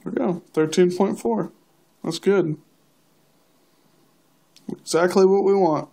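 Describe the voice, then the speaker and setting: A man's voice: sits high, takes it slowly, vocal fry. A young man casually narrating a hands-on DIY job, offhandedly talking to himself as he works. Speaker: DIY hobbyist demonstrating a build. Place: indoor workbench. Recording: steady background noise, amateur camera audio.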